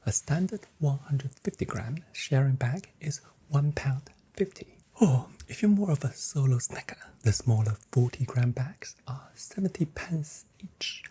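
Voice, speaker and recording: Whispered, mellow ASMR shop assistant voice. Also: medium pitch, slight background noise. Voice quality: breathy, mostly whispered. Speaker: ASMR role-play performer playing a shop assistant. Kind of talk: whispered, soft-spoken ASMR customer service role play.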